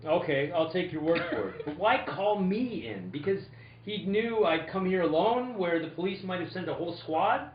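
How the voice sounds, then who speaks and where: Radio-play investigator, amateur performer: hardboiled male, wry and loose, animated mid pitch, muffled roomy sound. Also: normal speed, close to an everyday voice. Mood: wary. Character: Johnny Dollar, hardboiled but level-headed male investigator. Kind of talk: 1960s detective radio play, live amateur reading. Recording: echoey room, muffled and noisy.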